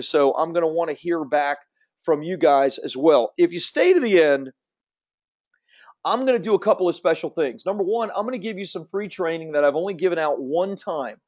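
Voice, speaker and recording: Fast-talking upbeat man, slight Southern accent. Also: a little high, darkish tone. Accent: slight Southern American. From Central Florida. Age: 50s. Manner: quick, upbeat and salesy. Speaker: webinar host. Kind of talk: fast promotional webinar presentation.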